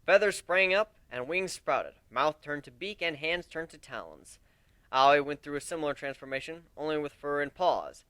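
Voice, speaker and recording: An expressive male narrator reads a first-person story in a conversational way. His voice sits fairly high and swings widely in pitch, recorded close and dry. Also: normal speed, clean tone, no room sound. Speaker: narrator of a dramatic reading.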